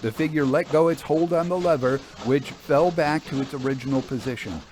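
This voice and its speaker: A man reading an old-fashioned ghost story in a deliberate, theatrical, suspenseful way, with dramatic pauses. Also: fairly high pitch, a little mellow, a little hiss or hum. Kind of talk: dramatic audiobook narration of a Victorian ghost story.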